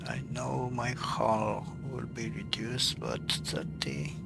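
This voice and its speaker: A man's voice: medium pitch, animated, slow pace, creaky. A man giving casual commentary while playing a game, thinking aloud half to himself. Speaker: gamer. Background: loud game music.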